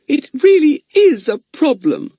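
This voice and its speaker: A man voicing a small, tender-hearted, excitable young girl in a high, breathy girlish voice for a storybook reading. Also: unhurried, no room sound, clean studio sound, heavily acted. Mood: upset, excited.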